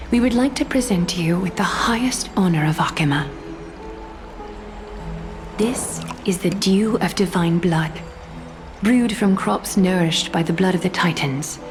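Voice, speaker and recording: Music plays under a regal woman ruler from a fantasy game. Her voice sits low with a marked creak, and every phrase is formal, measured and composed. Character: regal, composed noblewoman leader of a fantasy city. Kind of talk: scripted video game voice acting. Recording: music behind the voice.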